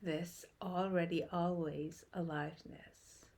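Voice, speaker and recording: A woman leading a guided meditation in a soft, hushed voice that trails toward a whisper, with long pauses between short phrases. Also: fairly low pitch, steady tone.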